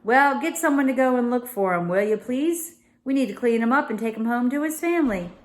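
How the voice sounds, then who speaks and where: A woman voicing an exasperated, bewildered upper-class father in a children's storybook, with a brisk, old-fashioned, blustering manner. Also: on the high side, expressive, pitched above the performer's own voice, lightly acted.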